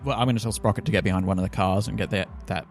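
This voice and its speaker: A man's voice: lowish voice, steady tone, racing, faint music behind. A man playing a tabletop game, casually talking tactics with the group.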